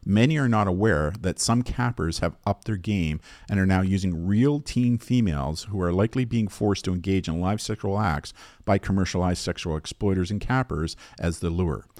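A man hosting an educational safety podcast, reading a scripted explanation in a measured, explanatory manner. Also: deep voice, darkish tone, no room sound.

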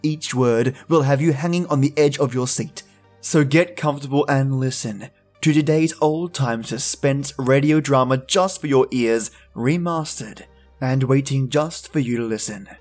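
A man's voice: mid-range voice, moderate pace, a little mellow, soft background music. A man hosting a podcast, giving an enthusiastic scripted introduction in a dramatic storytelling manner with chummy asides. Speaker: podcast host.

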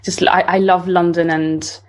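A woman's voice: on the low side, level tone, unhurried, a little hiss or hum. A woman in her twenties from Italy with an Italian accent, answering casual interview questions somewhat hesitantly. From northern Italy. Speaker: singer-songwriter being interviewed.

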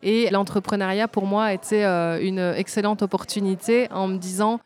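A woman's voice: medium pitch, steady tone, normal speed. Woman in her forties, guest at a trade fair, eager and full of hesitations. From Belgium. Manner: warm, enthusiastic, frequent hesitations. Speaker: designer and guest. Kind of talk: conversational interview.

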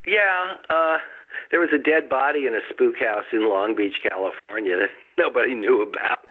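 A man's voice: mid-range voice, moderate pace, narrow, tinny audio. A man who is the guest author, telling a story in a casual chat with dry humor and frequent chuckles.

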